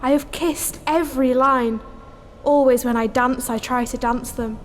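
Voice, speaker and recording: Idealistic young dancer heroine, high and unhurried, earnest and declamatory, theatrical morality play. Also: female voice, steady tone. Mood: adoring, alarmed. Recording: noisy, reverberant hall recording.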